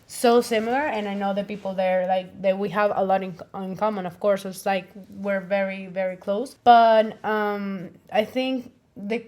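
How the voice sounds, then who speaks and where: A woman's voice: medium pitch, fairly even intonation, moderate pace, few pauses, a little mellow. Rambling Venezuelan woman, casual podcast chat. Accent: Venezuelan Spanish. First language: Spanish. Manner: rambling, full of "like" fillers.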